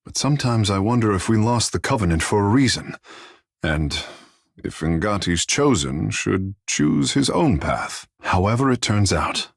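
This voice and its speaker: A playful fish-man demigod from a video game, kinda low n husky, super poetic and dramatic, very dry sound. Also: sing-song, a little slow, dry recording, heavily acted. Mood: thoughtful, earnest. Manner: theatrical, lyrical, flowery turns of phrase. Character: proud, playful godlike fish-man artist, young and poetic.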